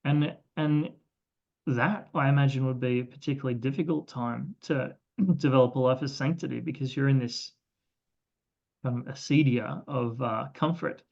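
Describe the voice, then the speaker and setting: A man's voice: mid-range voice, normal speed, creaky, a little mellow, lo-fi sound. A man interviewing in a reflective, tentative conversational way.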